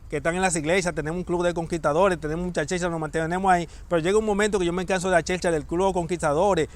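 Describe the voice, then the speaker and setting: A man preaching a sermon, emphatic and repeating key phrases for stress. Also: sits high.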